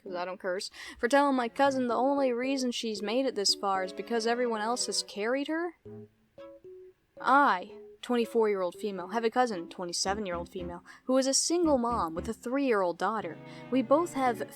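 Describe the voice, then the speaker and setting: A woman in her twenties rambling through a casual reaction vlog. Her voice sits a bit on the higher side, and she keeps breaking into giggles and self-deprecating asides. A faint bed of music plays underneath. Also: brisk. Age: 20s. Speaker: YouTuber.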